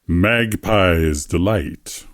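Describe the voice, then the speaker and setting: A gaunt elder priest in a storybook drama. He is imperious and impassive, giving curt lines at a very slow pace in a low voice. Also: no echo, clean studio sound. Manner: curt, unhurried. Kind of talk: full-cast audiobook acting.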